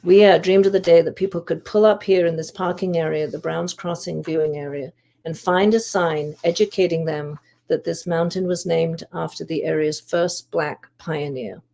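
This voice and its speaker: A woman giving a prepared history presentation in a measured way, with deliberate pauses. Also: low-pitched, steady tone, gravelly, dark tone. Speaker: volunteer history investigator presenting research.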